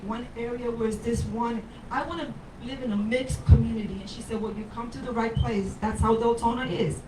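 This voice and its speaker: Older New woman, high voice. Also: far from the mic, background noise. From Puerto Rico. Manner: rambling, emphatic, personal stories. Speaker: candidate for mayor. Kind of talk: speaking at a candidate forum. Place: bar with a live audience. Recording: roomy sound from off the mic.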